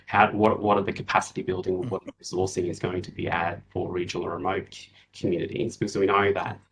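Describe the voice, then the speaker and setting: A man in his thirties gives testimony at a hearing over a video call. His voice is very low and flat, and he speaks in a measured way with many fillers. A faint noise hangs behind him.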